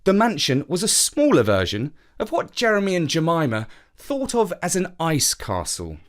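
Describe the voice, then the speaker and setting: Man reading a story with lively pitch. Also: normal speed. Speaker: story narrator. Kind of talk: scripted storybook narration.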